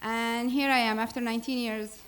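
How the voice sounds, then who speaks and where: A woman's voice: fairly high pitch, level tone, a little fast, a bit bright. A woman from Syria, speaking earnestly as a board president introducing a program, with small grammar slips. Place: church hall.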